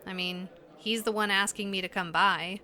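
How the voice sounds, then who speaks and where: A woman's voice: mid-range voice, fairly even intonation, normal speed, pushed and bright, some chatter behind, lightly acted. Actress as an uneasy, earnest young woman. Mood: earnest, determined. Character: Emily, young woman anomaly, uneasy but determined. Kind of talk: naturalistic audio drama dialogue.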